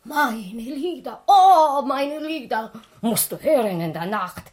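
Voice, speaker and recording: A woman's voice: high voice, animated, slow, a little mellow, exaggerated voice. Gossipy circus woman, swooping intonation. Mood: gossipy, insistent. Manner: gossipy, broken German. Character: gossipy middle-aged former circus snake dancer, theatrical and nosy. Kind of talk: radio play acting.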